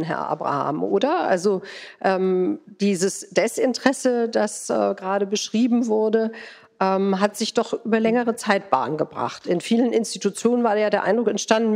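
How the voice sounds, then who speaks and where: A woman's voice: medium pitch, a little mellow. A woman moderating a panel discussion, putting questions to her guests in a conversational way.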